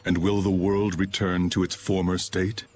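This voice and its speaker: A man's voice: deep voice, little pitch movement, moderate pace, darkish tone, natural voice. A man playing a cold, aloof antagonist who scorns humans in a video game, grave and formal. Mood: stern. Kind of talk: English video game voice acting.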